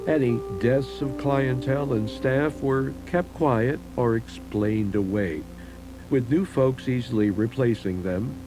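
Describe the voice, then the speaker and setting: A man giving a measured, solemn scripted narration of eerie local history, like a documentary host. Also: mid-range voice, dark tone, low-quality recording. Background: music under the voice.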